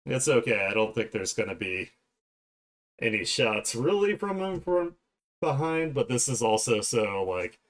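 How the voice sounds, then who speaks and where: A man's voice: medium pitch, very animated, measured pace. A man chatting casually on a livestream as he draws, thinking aloud in a playful, self-deprecating way. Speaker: streamer and illustrator. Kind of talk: casual livestream chat while drawing.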